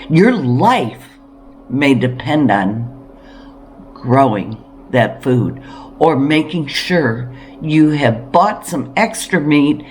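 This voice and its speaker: A woman's voice: rumbling low, a little mellow, a little hiss or hum. A woman hosting a homesteading video, talking straight to viewers in an earnest, emphatic way with rhetorical questions. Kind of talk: direct-to-viewer preparedness talk.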